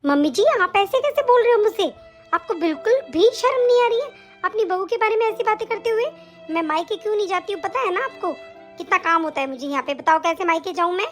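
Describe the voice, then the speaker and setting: Indignant young daughter-in-law, high bright voice, a woman acting. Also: fast, soft background music. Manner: indignant, argumentative. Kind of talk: family skit acting.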